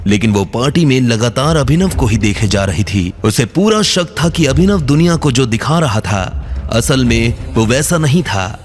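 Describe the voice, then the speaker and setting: A man's voice: medium pitch, expressive, normal speed. A man narrating a scripted audio drama story in a dramatic storytelling style.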